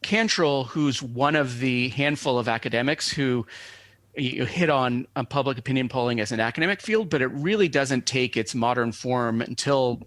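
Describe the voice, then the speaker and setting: Male academic guest, mid-pitched, hesitant and digressive, very dry recording. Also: normal speed, a bit bright, dry recording. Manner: hesitant, digressive, scholarly phrasing. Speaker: professor, expert guest. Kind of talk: academic explanation in an interview.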